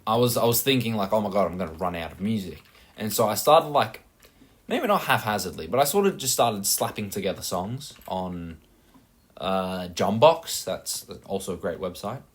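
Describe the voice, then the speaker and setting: Deep-voiced Australian guy chatting over gameplay. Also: lively intonation, normal speed. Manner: rambling, self-mocking, many fillers. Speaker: gaming channel host. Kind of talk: casual gameplay chat.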